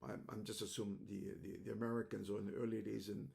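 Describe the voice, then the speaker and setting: An older man from Macau, speaking English as an in a halting, reflective monologue, often repeating words, recorded on a webcam at home. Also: lowish voice, little pitch movement, creaky, a little mellow. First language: Cantonese. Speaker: host. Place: home room indoors.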